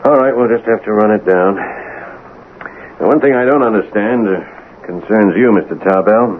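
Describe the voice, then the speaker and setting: A male actor plays a gruff, cynical homicide cop in an 1980s radio drama. He is blunt and doubting, in a lowish voice. The recording is thin and tinny with a faint hiss. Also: moderate pace, natural voice. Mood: businesslike. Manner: gruff, skeptical, hard-boiled. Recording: tinny, noisy old radio broadcast.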